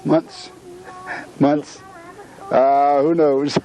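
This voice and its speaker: An older man with an American accent in casual, halting talk to the camera and his hosts, often repeating words. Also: fairly high pitch, little pitch movement, warm tone. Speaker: visiting tourist. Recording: chattering room, home video. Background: people chattering.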